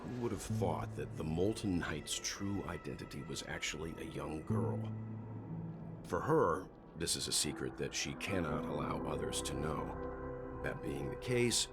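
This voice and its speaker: A very deep-voiced, thoughtful gentleman. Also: heavily acted. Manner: measured, reflective, articulate. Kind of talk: video game story dialogue. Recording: loud game music behind voice. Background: loud music.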